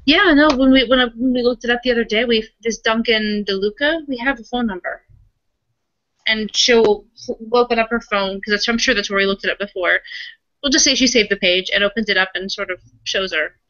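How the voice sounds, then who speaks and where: A woman's voice: a little high, a little fast, sharp tone, dry recording. A woman playing a tabletop roleplaying game, improvising her character's actions in loose, informal, self-correcting chatter. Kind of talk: casual improvised roleplay and narration. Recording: dry, slightly muffled voice-chat audio.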